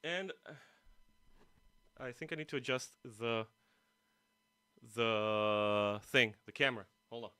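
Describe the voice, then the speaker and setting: A man's voice: medium pitch, takes it slowly, long pauses, sharp tone, smooth, dry recording, studio quality. A man hosting a casual guitar livestream, rambling to viewers and poking fun at himself. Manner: self-deprecating, rambling asides to viewers and himself. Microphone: streaming mic, poorly placed. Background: music playing.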